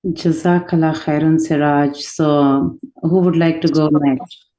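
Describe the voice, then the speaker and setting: Woman moderating a meeting, mellow low-pitched voice. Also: fairly even intonation, measured pace, poor audio. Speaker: moderator of an online orientation meeting. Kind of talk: hosting a video call, directing speakers. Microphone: video call.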